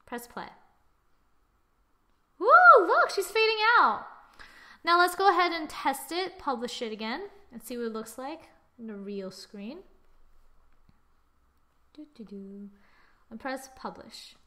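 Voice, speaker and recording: A young adult woman giving a casual software tutorial, with a playful, exclaiming manner and little sing-song asides. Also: sits high, expressive, takes it slowly, long pauses. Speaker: tutorial presenter. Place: screen-recorded voice-over.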